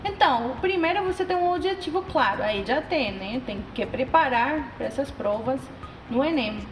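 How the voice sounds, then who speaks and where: High woman in an echoey room. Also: lively intonation, faint music behind. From Colombia. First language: Spanish. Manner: halting, with fillers and repeated phrases. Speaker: language tutor guest. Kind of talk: casual spoken tips. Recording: echoey room, faint ambient noise.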